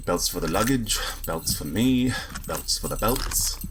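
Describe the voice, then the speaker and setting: Sardonic trigger-happy space agent, deep, husky, animated delivery, grumbling, sci-fi audio drama. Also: male voice, measured pace, noisy background. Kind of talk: first-person sci-fi audio drama narration and dialogue.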